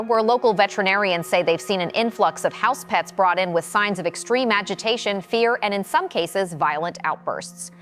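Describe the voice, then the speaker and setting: A woman's voice: mid-range voice, fairly bright tone, close to an everyday voice. A woman playing a businesslike field reporter giving a formal, factual news report in an audio drama. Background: music.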